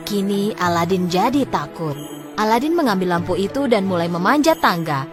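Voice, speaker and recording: A woman narrating a scripted fairy tale in a dramatic, expressive storytelling manner. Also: medium pitch, moderate pace, music in the background.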